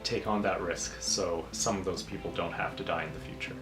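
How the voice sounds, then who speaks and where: A man's voice: low voice, fairly even intonation, brisk. A man in his twenties from Canada, a library technician, speaking plainly and matter-of-factly about volunteering. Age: 20s. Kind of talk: recorded personal statement. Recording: roomy with music bed.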